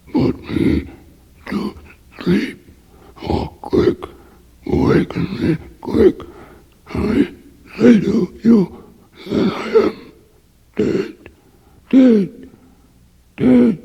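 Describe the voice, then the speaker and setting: A man voicing an anguished dying man speaking from beyond death in a hideous, gasping, halting voice. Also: high-pitched, vocal fry, mellow, breathy edge, in a large hall, voice pushed up, exaggerated voice. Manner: drawn-out, halting pleas, word by word.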